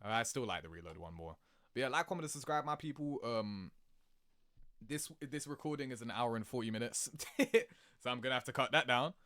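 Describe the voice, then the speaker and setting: A man giving casual, rambling reaction commentary in an animated, slangy, sweary way, laughing easily. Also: medium pitch, a little fast, no room sound. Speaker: video creator reacting to game cutscenes.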